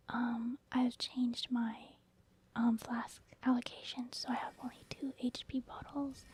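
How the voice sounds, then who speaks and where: A woman giving soft ASMR commentary over video game play, informal and hesitant as she thinks aloud. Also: a little high, slight background noise. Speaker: gaming ASMR video host.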